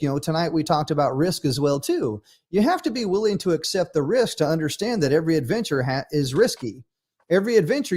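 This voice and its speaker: A man's voice: fairly high pitch, darkish tone. A man hosting a livestream talk show, speaking earnestly and emphatically, often repeating himself for effect. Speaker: host.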